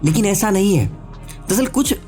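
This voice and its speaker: A man's voice: high-pitched, lively intonation, normal speed, darkish tone, faint music behind. A man with a sore throat and a blocked nose, giving a casual explainer voice-over as an anime theory YouTuber and speaking straight to his viewers. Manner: chatty, addresses viewers directly, mixes in English words. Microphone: voice-over recorded separately.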